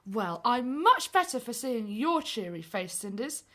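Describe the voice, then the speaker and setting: Eager young servant pal acted by a woman, high pitch, bright tone, friendly, confiding, chirpy pantomime style. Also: very animated, measured pace, exaggerated voice. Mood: excited, hopeful. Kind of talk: stage pantomime acting.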